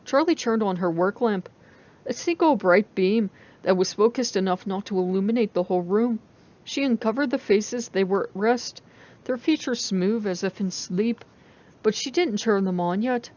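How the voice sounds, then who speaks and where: A woman's voice: medium pitch, normal speed, a little mellow, highs cut off. A woman reading a story aloud as a dramatic audiobook narrator. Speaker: story reader.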